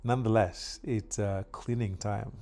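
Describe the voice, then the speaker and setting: Gravelly, dark-voiced Kenyan man vlogging. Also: fairly low pitch. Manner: relaxed, chatty, frequent filler pauses. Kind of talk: casual yard-work vlog narration. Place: outdoors in a yard.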